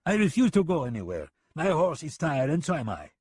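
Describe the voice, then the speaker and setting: A man's voice: big pitch swings, a little fast, a little mellow, breathy edge, no room sound, clean studio sound. A man doing a smug hired killer. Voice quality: high, haughty put-on voice. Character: smug foreign male hired killer, mocking and arrogant.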